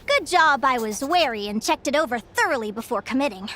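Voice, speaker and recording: A woman's voice: sits high, expressive, brisk, pushed and bright. A woman voicing a young adventurer in a game cutscene, eager and full of wonder as she talks to herself. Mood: relieved. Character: young woman adventurer dreaming of owning a ship.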